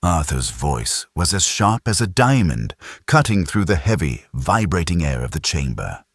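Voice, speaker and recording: A man with an extremely low voice narrates a sci-fi audiobook. The delivery is measured and dramatic, recorded close and dry with no room sound. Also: sing-song, breathy edge, dry recording.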